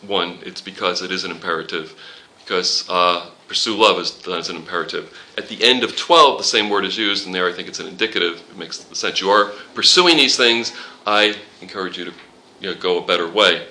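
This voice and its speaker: Bright-voiced man teaching a Bible class. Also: deep voice, a little fast, rough recording. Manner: conversational, quotes scripture, jokes with the class.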